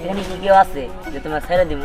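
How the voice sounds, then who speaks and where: A man's voice: high-pitched, very fast, a loud crowd, natural voice. A young man playing a playful, insistent boyfriend in a short drama film. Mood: teasing. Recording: music behind dialogue.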